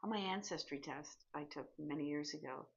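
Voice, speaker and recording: A Canadian woman in her sixties talks to camera in a candid, chatty vlog, stopping and restarting now and then. Her voice sits a little low and sounds husky and breathy. The recording is dull and lo-fi. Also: a little fast. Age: 60s. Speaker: vlogger. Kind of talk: casual personal vlog.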